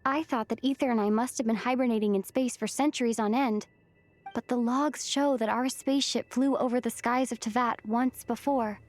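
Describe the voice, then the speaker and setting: A woman's voice: on the high side, steady tone, normal speed, darkish tone, lightly acted. A woman voicing an earnest, thoughtful young female traveler in a video game cutscene, with a sincere, pensive delivery. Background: background music.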